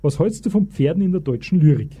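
An actor playing an eager, pedantic Bavarian husband who lectures as a self-styled expert in a comic radio play. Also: male voice, a little high, lively intonation, quick pace, warm tone, husky. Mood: pompous lecturing. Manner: pompous, long-winded.

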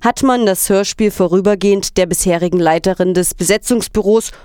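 Clear-voiced woman reading news formally. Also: medium pitch, brisk, mellow, no room sound, studio quality. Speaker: reader of quoted articles and statements.

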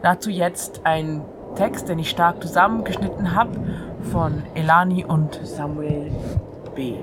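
A woman presents an informal radio monologue in a fairly low voice. She speaks conversationally and straight to the listener, with clearly audible ambient noise coming in through an open window. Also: fairly even intonation, unhurried. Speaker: radio show host. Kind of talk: informal monologue on free radio, with read-out passages.

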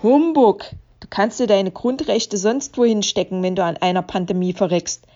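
Radio collage: actress as combative female commenter, scornful, medium pitch, slightly lo-fi muffled sound. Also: normal speed, mellow, lightly acted. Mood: scornful, angry. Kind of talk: radio drama collage reading of social media comments.

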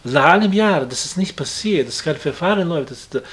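A man with a somewhat high speaking voice gives a halting, conversational interview answer. He repeats small words and restarts sentences often. He grew up outside this country. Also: lively intonation, fairly bright tone, a little hiss or hum. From outside Germany. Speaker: interviewee, acquaintance of the victim.

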